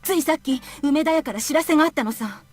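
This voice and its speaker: A woman's voice: high-pitched, racing, faint music behind, lightly acted. A woman playing a grave, agitated madam in a Japanese visual novel, speaking in an urgent, worldly, colloquial way.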